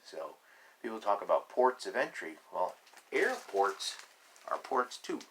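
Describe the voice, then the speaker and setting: A man reading a picture book aloud to children, deliberate and emphatic in short phrases, with chatty, playful asides. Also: fairly low pitch, big pitch swings, heavy background noise.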